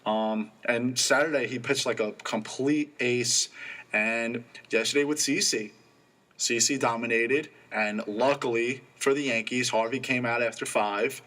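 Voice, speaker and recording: A young man co-hosting a college sports radio show, reading off stats in casual, excitable talk. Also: medium pitch. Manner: rattles off stats quickly, excitable.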